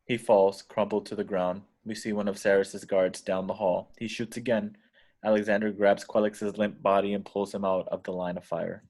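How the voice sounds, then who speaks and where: Guy w low voice narrating script stage directions at a table read. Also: flat delivery, moderate pace, darkish tone.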